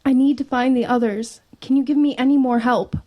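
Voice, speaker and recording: Earnest woman playing a anxious teenage girl, higher-voiced. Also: fairly even intonation, brisk, mellow, natural voice. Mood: pleading, worried. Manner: earnest, probing, hesitant. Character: earnest, persistent, anxious teenage girl narrating her ghost story. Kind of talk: audio drama acting and first-person narration.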